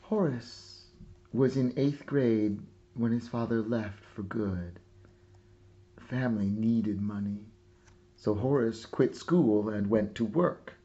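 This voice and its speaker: A man reading a children's picture book aloud expressively, with dramatic pauses and hushed phrase endings. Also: lowish voice, highs cut off. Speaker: storybook reader. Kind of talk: scripted narration, picture book read-aloud.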